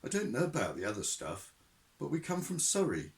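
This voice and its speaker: A man voicing a timid, nervous young man who speaks hesitantly and squeaks. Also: wildly swooping pitch, brisk, gravelly.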